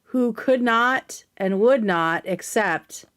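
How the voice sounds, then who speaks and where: A woman's voice: a little high, slow, fairly bright tone. A woman hosting a casual livestream commentary, speaking in a sarcastic, emphatic way with plenty of slang. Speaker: livestream host.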